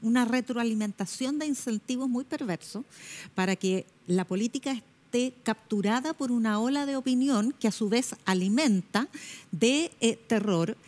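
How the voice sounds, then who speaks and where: A woman's voice: on the high side, moderate pace, darkish tone. A middle-aged woman journalist giving pointed, critical commentary in a television panel debate, recorded on a lapel mic in a studio.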